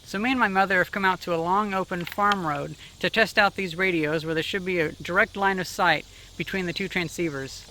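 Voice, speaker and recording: A man's voice: high-pitched, steady tone, fairly quick, sharp tone. A man giving casual hobby vlog narration in a tentative, self-deprecating way outdoors. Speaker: vlogger. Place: outdoors in rural countryside. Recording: noisy outdoor field recording.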